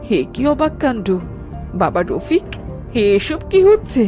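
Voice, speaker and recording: A woman's voice: high voice, sing-song, unhurried, over music. A woman voicing a kindly, anxious elderly village mother-in-law in a cartoon, fretful and tender. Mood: alarmed. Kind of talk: animated cartoon voice acting.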